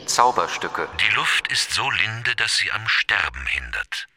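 Slow, sharp, deep reciter, a man acting. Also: big pitch swings, breathy edge, full character voice. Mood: solemn. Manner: declamatory, slow, clipped phrases. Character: solemn male reciter of literary quotations. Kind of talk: theatrical recited quotations with source named. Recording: long hall reverb.

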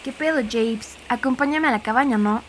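A woman's voice: fairly high pitch, normal speed, background noise, lightly acted. A woman playing a scared, teasing teenage boy with a Mexican accent in an audio drama, talking in crude, casual slang.

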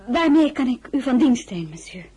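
An actress playing a shrewd, spirited merchant's daughter with a firm, polite shop manner in a radio drama. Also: female voice, high-pitched, big pitch swings, crowd noise. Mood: brisk, selling. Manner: brisk, firm, polite saleswoman.

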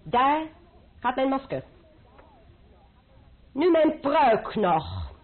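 A woman's voice: sits high, wildly swooping pitch, very slow, husky, heavily acted. A woman in a Dutch radio play voicing a bold young noblewoman posing as a swaggering chevalier, airy and mocking. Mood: gleeful, theatrical. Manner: airy, mocking, playfully formal. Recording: muffled phone-like old radio recording.

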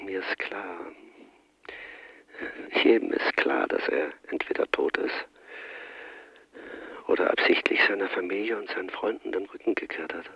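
A man's voice: low-pitched, moderate pace, creaky, long pauses, sharp tone, dull audio, heavily acted. An actor playing a sombre, resigned father in a German radio crime drama. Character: Mr. Greenleaf, sombre, resigned father of missing son.

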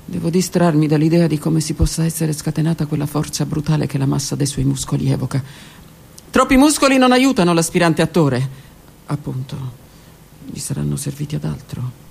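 A woman's voice: sits low, fairly quick, gravelly, darkish tone, a little hiss or hum. A woman narrating her own literary text for a radio theatre piece, intimate and often dropping to a whisper. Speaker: author and narrator.

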